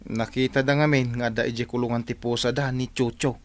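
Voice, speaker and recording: A man's voice: medium pitch, flat delivery, brisk, dry recording, close to an everyday voice. A man acting a put-upon, plaintive working man in an Ilocano radio drama, with an Ilocano accent and a musing manner. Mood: aggrieved.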